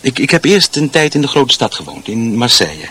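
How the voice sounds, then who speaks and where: A man's voice: medium pitch, sing-song, a little fast, pushed and bright, slightly rough, full character voice. An actor playing a chatty, fussy middle-aged husband in a radio drama, proud of his home and often stammering and repeating himself. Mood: proud, talkative. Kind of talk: Dutch radio drama acting.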